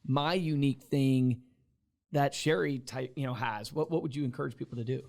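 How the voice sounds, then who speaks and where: A man's voice: mid-range voice, moderate pace, vocal fry, a little mellow. A man co-hosting a business podcast, speaking in an enthusiastic, motivational way. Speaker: podcast co-host. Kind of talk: podcast interview.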